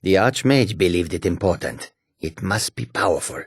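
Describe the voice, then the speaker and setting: A proud, sly feline mage from a fantasy video game. The voice is deep and very raspy. The phrasing is formal and measured, and the recording is dry and close. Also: male voice, expressive, dry recording, exaggerated voice. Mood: eager, scheming. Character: proud, sly male cat-person mage, speaks in third person. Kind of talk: video game character dialogue.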